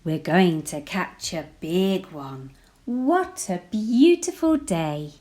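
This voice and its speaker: A woman teacher reading a children's picture book aloud, slow and playful, whispering the sound words and rising to excited gasps. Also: on the low side. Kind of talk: expressive read-aloud storytelling for children.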